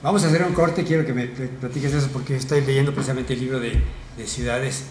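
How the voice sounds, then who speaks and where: An older man hosting a talk show around a studio table, chatty and relaxed, with frequent fillers. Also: medium pitch, fast. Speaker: host. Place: small TV studio, round table. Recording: roomy studio with light noise.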